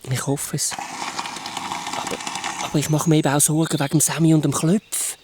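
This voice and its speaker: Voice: mid-range voice, flat delivery, brisk, background noise, close to an everyday voice. An actor playing a worried, caring character with a Swiss German accent in a children's audio drama, speaking anxiously and earnestly. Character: worried, caring character who senses friends in danger.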